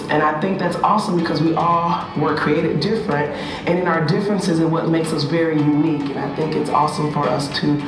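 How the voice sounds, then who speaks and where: A woman on a school staff giving informal interview answers. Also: low voice. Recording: very echoey, distant, music behind.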